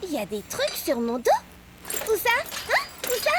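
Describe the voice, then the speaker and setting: A woman voicing a naive, sweet, excitable little girl microbe in a French cartoon dub, gushing and dramatic like a child. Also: high-pitched, normal speed, heavy background noise. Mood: baffled.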